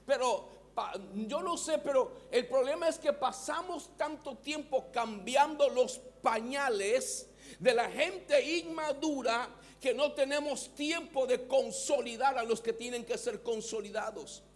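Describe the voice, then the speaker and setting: A man's voice: very high-pitched, measured pace. A man with a Mexican Spanish accent, preaching a sermon as pastor and having the congregation repeat words after him.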